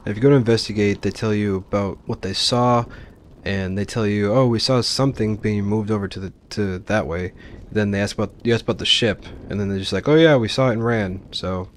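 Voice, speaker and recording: A man's voice: lowish voice, a little fast, a little hiss or hum. A man giving informal, explanatory commentary as he hosts a let's play of a video game. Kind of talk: casual gameplay commentary.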